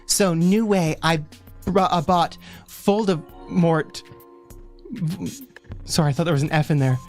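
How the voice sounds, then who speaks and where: A woman's voice: lowish voice, unhurried, a little mellow. A woman co-hosting a livestream, giving a comic dramatic reading mixed with casual chat, stumbling over words and breaking into asides. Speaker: livestream co-host reading fan fiction aloud. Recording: music behind the voice.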